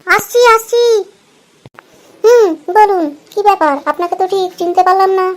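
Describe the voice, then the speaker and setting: A woman's voice: very high-pitched, moderate pace. A woman voicing a nagging, easily annoyed young wife in an amateur comedy cartoon. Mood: irritated.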